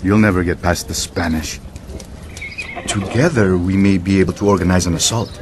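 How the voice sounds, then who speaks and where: Deep, commanding revolutionary general played by a man. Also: steady tone, normal speed, noisy background, close to an everyday voice. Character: commanding male revolutionary general, earnest and gallant. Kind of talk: dramatic game cutscene acting.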